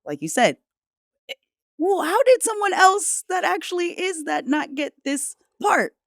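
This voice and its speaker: A woman's voice: very high voice, huge pitch swings, unhurried, no echo, clean studio sound. Engaged woman in a podcast chat. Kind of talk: casual chat.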